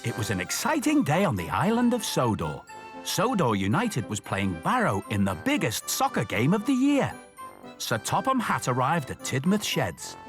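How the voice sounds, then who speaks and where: Very animated, high-voiced male children's storyteller. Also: moderate pace, music in the background. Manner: expressive, clearly enunciated for young children.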